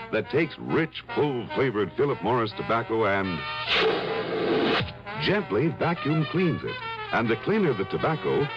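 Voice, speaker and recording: A man reading a 1950s radio advertisement as a commercial announcer. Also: medium pitch. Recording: dull vintage radio with music.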